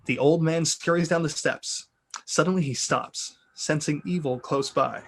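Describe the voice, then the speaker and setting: A man's voice: fairly high pitch, measured pace, creaky, breathy edge. A man reading script narration and stage directions aloud in an amused, theatrical way with joking asides. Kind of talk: dramatic reading of a film script.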